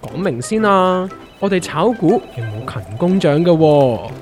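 A woman's voice: deep voice, animated, unhurried, soft background music. A woman with a Hong Kong Cantonese accent playing a blunt, teasing co-worker in a comedic audio drama, given to mock-serious exaggeration.

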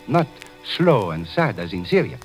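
Earnest Syrian immigrant, heavy Arabic accent. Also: male voice, sits low, sing-song, moderate pace, faint music behind, low-quality recording. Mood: wondering. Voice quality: heavy put-on accent, solemn declamatory delivery. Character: earnest Syrian immigrant man, proud new citizen. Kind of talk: 1930s radio drama acting.

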